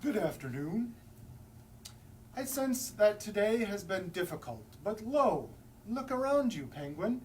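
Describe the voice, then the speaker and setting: A wise old-fashioned walrus played by a man offers kindly counsel in a measured, solemn and formal manner. The storybook voice is slow and picked up from a distance. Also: high-pitched, very animated, voice pushed up. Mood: solemn, soothing. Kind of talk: picture-book read-aloud for children. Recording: distant mic, faint background noise.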